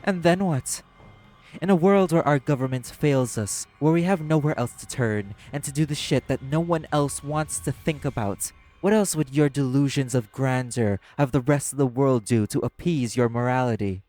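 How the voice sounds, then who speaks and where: A man acting out a jaded, sardonic club manager in an audio drama. The voice is mid-pitched and world-weary, with a confrontational edge, over soft background music. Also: moderate pace, lightly acted. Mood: scornful, confrontational. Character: apathetic, cynical socialite club manager and parent. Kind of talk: scripted audio roleplay acting.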